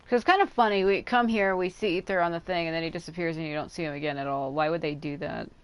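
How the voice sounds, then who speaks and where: A woman's voice: lowish voice, steady tone, fast, smooth. A woman doing casual, informal live commentary on a game, musing aloud as she goes. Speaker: game livestreamer.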